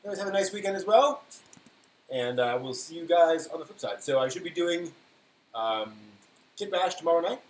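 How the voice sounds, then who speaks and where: A man's voice: fairly high pitch, animated, normal speed. A man hosting a casual live stream, chatting in an offhand way as he thinks aloud. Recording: roomy echo, faint hiss.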